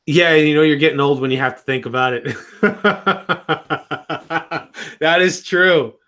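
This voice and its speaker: A man's voice: fairly high pitch, takes it slowly, a bit bright. Rambling man in his thirties. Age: 30s. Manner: rambling, restarts sentences. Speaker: live streamer. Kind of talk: casual live-stream chat.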